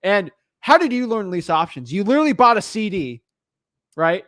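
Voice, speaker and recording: A young man hosting a casual chat, telling stories in an energetic, animated way. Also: sits high, no echo, studio quality. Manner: energetic, fast-talking, animated storytelling. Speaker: podcast host.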